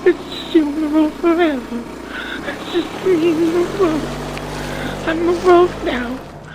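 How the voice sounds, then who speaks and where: A man's voice: extremely high pitch, slow pace, background noise. A man voicing a vain, needy young beauty queen in a theatrical comedic radio play, swinging from sweet to hysterical. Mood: despairing. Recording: music behind the voice.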